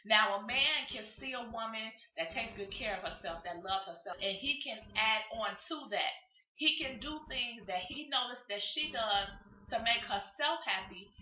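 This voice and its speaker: A woman giving a motivational relationship advice talk in an emphatic, preachy manner, repeating her points in parallel phrases. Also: on the high side. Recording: muffled echoey room with background noise.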